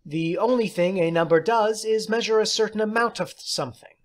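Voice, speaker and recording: A man's voice: sits high, fairly quick, pitched above the performer's own voice, natural voice. A man voicing an eccentric, jolly woman substitute teacher in an audiobook story, precise, theatrical and condescending. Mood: airy, breezy.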